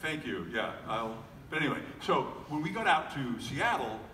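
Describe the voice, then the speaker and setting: A man's voice: medium pitch, big pitch swings, moderate pace, pushed and bright. Joking man in an echoey hall. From United States. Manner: joking, self-deprecating, many fillers and restarts. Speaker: voice actor guest on a convention panel. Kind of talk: casual panel talk to an audience. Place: large convention hall. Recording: echoey hall recording from far off, faint noise.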